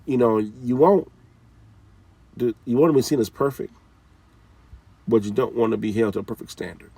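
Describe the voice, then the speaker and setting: A man's voice: medium pitch, moderate pace, creaky, warm tone, husky, no echo, far from the mic. A man giving an informal, scolding talk with casual slang, often repeating his phrases.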